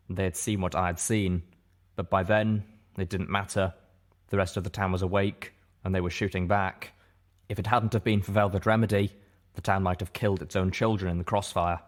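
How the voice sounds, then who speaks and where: A man narrates scripted fiction in the first person. His voice is fairly low. The delivery is that of an audiobook reader telling a story.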